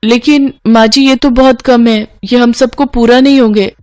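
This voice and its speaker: A woman's voice: on the high side, steady tone, moderate pace, darkish tone, voice pushed up, lightly acted. A woman voicing a fed-up but timid young Indian daughter-in-law, whiny and exasperated, in a story. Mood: pleading. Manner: whiny, exasperated, polite to elders.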